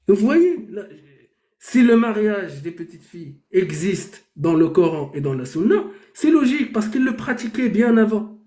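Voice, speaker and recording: A man's voice: high voice, a little mellow, reverberant. A man hosting a heated live debate, arguing his point with rhetorical questions that he answers himself. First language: Arabic. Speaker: debate host.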